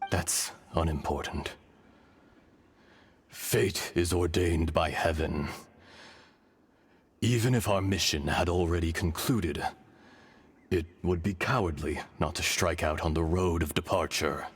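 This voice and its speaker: Deep, solemn ancient dragon spirit. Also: male voice, husky, exaggerated voice. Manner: grave, measured, archaic formal phrasing. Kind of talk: video game voice acting. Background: faint music.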